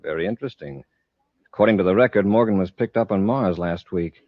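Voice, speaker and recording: An actor playing an authoritative space patrol commander in an old radio serial, clipped and commanding. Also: male voice, sits low, a little fast, no echo. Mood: serious, probing. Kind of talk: old-time radio sci-fi acting. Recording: dull lo-fi old radio recording.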